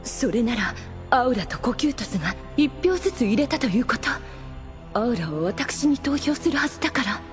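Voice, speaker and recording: A woman's voice: a little high, big pitch swings, normal speed, faint music behind. A woman playing a proud, scheming demon woman in an anime audio drama, with theatrical, formal feminine speech. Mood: suspicious.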